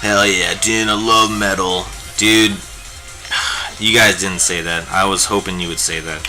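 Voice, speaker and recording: A man's voice: sits low, fairly even intonation, unhurried, pushed and bright, faint music behind. A young man hosting a live painting stream, chatting in a rambling, jokey way while he works. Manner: rambling and jokey, lots of fillers. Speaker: livestream host teaching digital painting.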